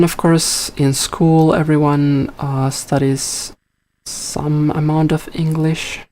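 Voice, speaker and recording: Finland-Swedish man, Finnish native, slow unhurried live-coding chat, halting and thinking aloud. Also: on the high side, darkish tone. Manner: hesitant, thinks aloud with many fillers. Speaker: live coder answering chat. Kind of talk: casual thinking-aloud commentary.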